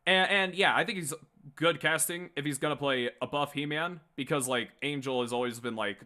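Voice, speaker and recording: A man's voice: on the high side, brisk, pushed and bright. A man giving casual, rambling fan commentary as a livestream host, blunt and prone to swearing. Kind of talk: casual opinionated fan commentary to a live chat.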